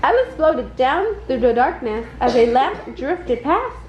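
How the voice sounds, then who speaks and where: Woman storyteller, very animated melody, roomy dull recording, faint noise. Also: high-pitched, measured pace. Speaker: storybook reader. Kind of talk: scripted children's bedtime story narration, read aloud.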